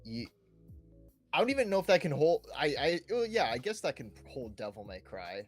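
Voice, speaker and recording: A man's voice: on the high side, very animated, normal speed, faint music behind. A man hosting a casual gaming podcast, often ending his statements with little checking tag questions. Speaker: podcast host.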